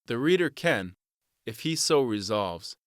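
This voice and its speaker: A man narrating an audiobook from a script in a measured, emphatic and formal way. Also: medium pitch, big pitch swings, fairly bright tone, dry recording, clean studio sound.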